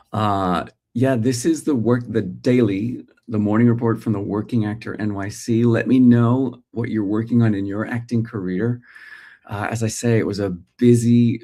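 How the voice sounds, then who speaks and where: A middle-aged Australian man hosting a casual morning livestream, thinking aloud with pauses and restarts while greeting his viewers. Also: on the low side, warm tone. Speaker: host.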